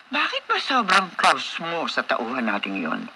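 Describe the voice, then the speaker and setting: A woman's voice: low voice, wildly swooping pitch, measured pace, pushed and bright, a little hiss or hum, heavily acted, poor audio. An actress playing a wealthy middle-aged mother in a Filipino radio drama, doting yet probing, with theatrical delivery. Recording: radio drama with music underneath.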